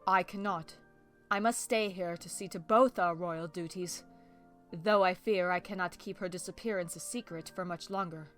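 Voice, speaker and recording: A woman's voice: fairly low pitch, fairly even intonation, normal speed, fairly bright tone, lightly acted. A woman playing a worried, regal pony princess of the night in a cartoon comic dub, speaking in formal, archaic royal diction. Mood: solemn, formal. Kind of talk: fan comic dub acting. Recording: music behind the voice.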